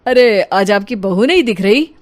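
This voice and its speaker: A woman's voice: mid-range voice, lively intonation, moderate pace, lightly acted. A woman voicing a smug middle-aged male neighbour in a cartoon story, gloating and mocking.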